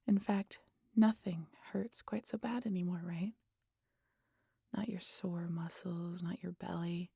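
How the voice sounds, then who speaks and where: A woman playing a sardonic, guarded vampire in an intimate audio roleplay, with a gravelly, husky voice and a teasing, exasperated manner that slips into breathy whispers. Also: fairly low pitch, steady tone, takes it slowly, warm tone, no room sound, clean studio sound, exaggerated voice. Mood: soothing, hushed. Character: sardonic, guarded female vampire hypnotizing an injured hunter.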